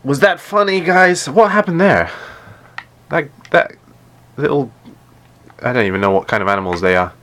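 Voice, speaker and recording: A man with a deep voice chatting casually while playing a game live, often stumbling and repeating words. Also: animated, normal speed, long pauses, fairly bright tone. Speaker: gaming live streamer.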